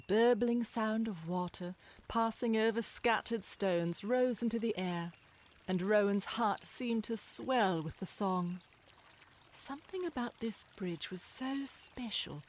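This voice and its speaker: A woman's voice: fairly high pitch, expressive, unhurried, a little hiss or hum. A woman narrator reading a scripted animal story in storybook style.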